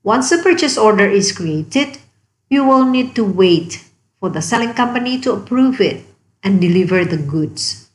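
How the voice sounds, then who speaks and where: A woman with a mid-pitched voice narrates a software tutorial from a script. Her delivery is measured and explanatory, laying out each step as instruction. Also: lively intonation.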